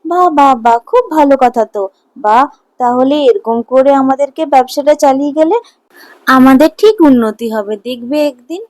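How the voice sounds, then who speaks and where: A woman voicing an honest, sensible, supportive wife in a cartoon story, with an expressive delivery. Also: high voice, normal speed, no room sound. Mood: pleased. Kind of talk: Bengali cartoon story voice acting.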